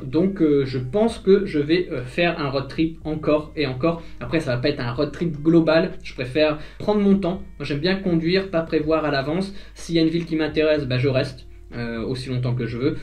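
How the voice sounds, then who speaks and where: A man's voice: on the high side, a little mellow. A man from northern France, a vlogger chatting casually as he answers viewer questions, with many hesitations and fillers. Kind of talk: casual chat.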